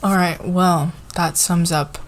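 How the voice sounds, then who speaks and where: A woman playing a friendly, encouraging boss in an ASMR roleplay, talking to a team in a halting, soft-spoken office manner. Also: fairly low pitch, fairly even intonation. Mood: appreciative, encouraging.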